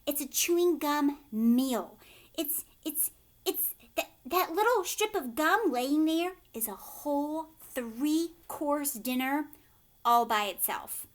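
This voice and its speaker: A woman voicing an excitable, proud male candy inventor with grandiose, emphatic delivery, as in a storybook reading. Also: high voice, slow pace, pitched above the performer's own voice. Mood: boastful, excited.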